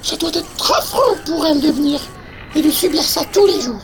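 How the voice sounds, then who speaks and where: Casting: sinister talking toy for audio drama. Piercingly high, creaky, coaxing, sing-song and theatrical, drawn-out words. Noisy ambience. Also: lively intonation. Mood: agitated, goading. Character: sinister living toy, coaxing and manipulating a child. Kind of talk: audio fiction acting.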